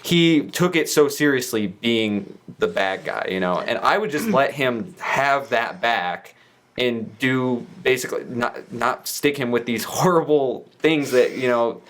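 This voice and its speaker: A man's voice: mid-range voice, unhurried, a bit bright. A man in a casual wrestling discussion, speaking in a hedging, rambling way as a podcast guest. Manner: hedging, rambling with frequent "you know".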